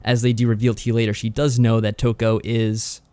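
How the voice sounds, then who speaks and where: A man co-hosting a podcast in casual discussion, giving measured, qualified opinions. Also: lowish voice, flat delivery, a little mellow, clean tone, dry recording. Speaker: podcast co-host.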